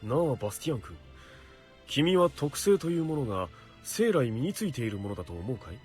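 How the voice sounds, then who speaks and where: A man's voice: mid-range voice, very animated, rapid-fire, darkish tone, faint music behind, close to an everyday voice. A man voicing a stern, earnest young knight in a Japanese visual novel, speaking formally as he mutters to himself. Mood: pompous lecturing.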